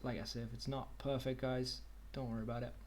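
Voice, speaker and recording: A young man casually talking through a screen-share tutorial on a video call, relaxed and chatty. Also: medium pitch, fast, slight background noise. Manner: relaxed, chatty, says "okie dokie" and "kind of". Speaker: online workshop tutor.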